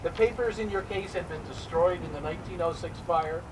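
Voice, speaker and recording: A man's voice: fairly high pitch, fairly quick, lightly acted. A man playing a stern, suspicious immigration interrogator of the 1910s, formal and probing, in a dramatic reading. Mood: accusing. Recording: distant microphone in noisy live room.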